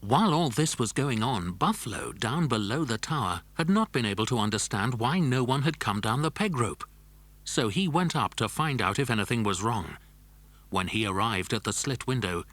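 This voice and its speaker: A man's voice: on the low side, fairly bright tone, no echo. A man narrating a scripted children's adventure story. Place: dry studio.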